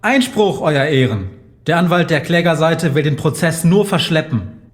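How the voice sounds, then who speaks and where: A man's voice: fairly high pitch, lively intonation, normal speed, lightly acted. A man playing an indignant, haughty opposing lawyer in an audio drama, speaking very formally.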